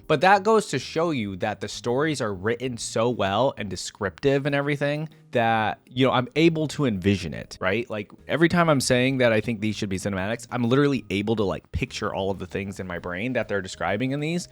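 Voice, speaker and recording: A man's voice: medium pitch, lively intonation, brisk, few pauses. A man hosting a reaction video, switching between dramatic story reading and casual commentary.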